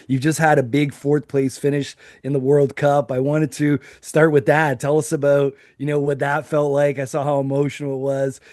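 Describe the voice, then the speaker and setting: A man's voice: medium pitch, dry recording, clean studio sound. A man hosting a podcast interview, gushing and enthusiastic. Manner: excitable, gushing, fast. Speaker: podcast host.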